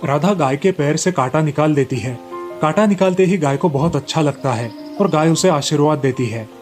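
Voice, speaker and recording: A man's voice: a little high, normal speed, a little mellow, soft background music. A man storyteller reading scripted narration for a children's moral tale.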